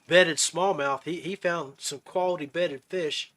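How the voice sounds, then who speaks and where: A man's voice: a little high, fairly even intonation, unhurried, fairly bright tone. A man hosting a podcast in a casual chat, with a folksy manner, often rephrasing his questions as he goes. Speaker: podcast host. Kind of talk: casual chat interview about fishing.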